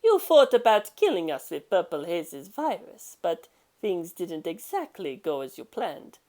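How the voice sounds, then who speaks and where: Woman playing serious gangster man, composed, quiet, measured delivery, calmly confident, audio storybook. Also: on the low side. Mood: calm confidence.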